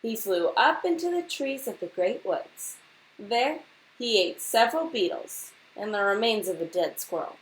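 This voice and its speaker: A woman reading a children's storybook aloud like a teacher to a class, expressively and deliberately, putting questions straight to her young listeners. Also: medium pitch, expressive, low-quality recording.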